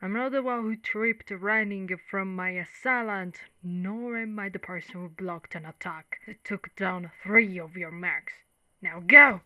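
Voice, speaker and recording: A woman playing female undead knight, caring, wry, conversational, mid-pitched, ASMR monster roleplay, dry close sound. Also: a little slow, bright, forceful tone, no echo, lo-fi sound. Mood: defiant, urgent. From Italy. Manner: reassuring, teasing, conversational. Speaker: voice actress.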